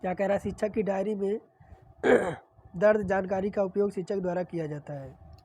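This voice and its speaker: Teacher lady w a sore throat, warm low-key voice, goes over quiz answers one by one. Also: normal speed, breathy edge. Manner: steady explanatory reading, repeats answers. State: sore throat, clearing throat often. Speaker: exam-prep teacher. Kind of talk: reading and solving practice questions.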